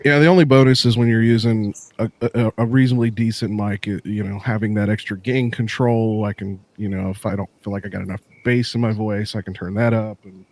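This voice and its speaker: A man's voice: fairly low pitch, fairly even intonation, fairly quick, few pauses, darkish tone. A man in casual chat, explaining streaming settings with many restarts. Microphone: desk mic through a mixer.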